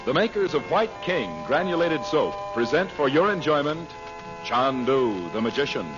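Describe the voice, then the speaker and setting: A man's voice: a little high, expressive, moderate pace. A man announcing and narrating in a formal, emphatic old-time radio style. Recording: muffled old radio recording. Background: loud music.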